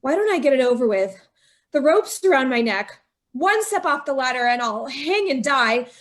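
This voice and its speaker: An actress playing a young bride driven to murder, speaking with breathless anguish in an old-time radio drama. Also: female voice, high-pitched, very animated, normal speed. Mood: desperate.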